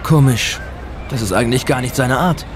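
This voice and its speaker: A man's voice: medium pitch, normal speed, some chatter behind, slight background noise, close to an everyday voice. An actor playing a man who is the detective's casual, dryly teasing friend in a German audio drama. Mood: relaxed.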